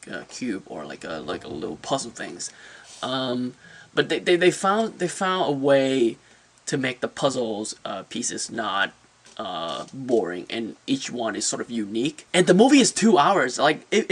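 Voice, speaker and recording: Guy doing a casual movie review, medium pitch, informal and opinionated, kinda stumbles over his phrasing. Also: breathy edge. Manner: informal and opinionated, hesitant phrasing with repeated words. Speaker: movie reviewer, channel host.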